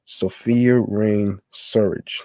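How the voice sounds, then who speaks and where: Slow, mellow-voiced man reading haltingly. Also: on the low side, steady tone, dry recording. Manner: halting reading, stumbling and restarting over words. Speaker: podcast host. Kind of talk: reading text aloud on a podcast.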